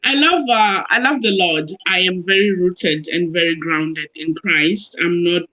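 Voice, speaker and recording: Hey, cheerful zimbabwe-born woman, bright sharp voice, chatting on a video call. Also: on the low side, a little slow, lo-fi sound. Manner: cheerful, upbeat. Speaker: guest. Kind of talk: self-introduction on a video call show.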